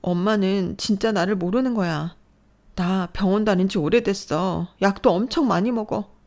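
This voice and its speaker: A woman's voice: mid-range voice, moderate pace, darkish tone, voice pushed up, natural voice. A woman playing a tearful young daughter. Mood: upset. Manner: pleading, trembling, emotional. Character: fragile young adult daughter, upset and tearful.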